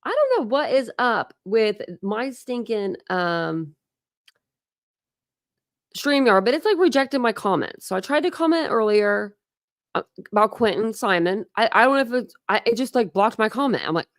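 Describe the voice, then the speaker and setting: A woman's voice: fairly high pitch, very animated, moderate pace, dry recording, clean studio sound. An American woman hosting a true crime livestream, chatting casually in slangy, mildly cursing speech. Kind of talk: casual live chat.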